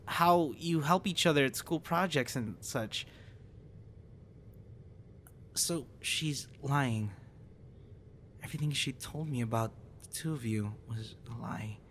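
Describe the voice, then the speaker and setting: A young man performing an intimate audio roleplay as a troubled guy confiding in a close friend after a breakup, halting and stammering. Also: medium pitch, a bit bright. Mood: upset, incredulous. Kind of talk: intimate ASMR boyfriend roleplay, acted monologue. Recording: noticeable background noise.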